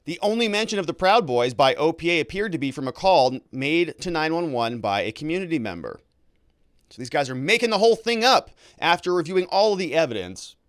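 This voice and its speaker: A man hosting legal commentary, reading from a report in an animated, emphatic way with informal asides. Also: fairly high pitch, a little fast, no room sound, studio quality. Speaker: legal commentator and show host. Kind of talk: news commentary, reading a report aloud and paraphrasing it.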